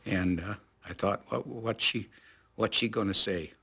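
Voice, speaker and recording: An elderly man, a war veteran, recollecting in an interview in a halting, plain-spoken way. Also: fairly low pitch, vocal fry, sharp tone, husky.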